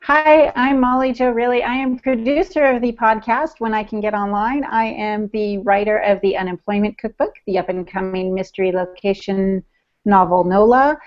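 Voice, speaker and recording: Female podcast co-host, middle-aged. Medium pitch, wry banter, lo-fi call sound. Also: fairly even intonation, moderate pace. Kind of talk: casual podcast chat. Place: home room on a video call. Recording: muffled video call audio.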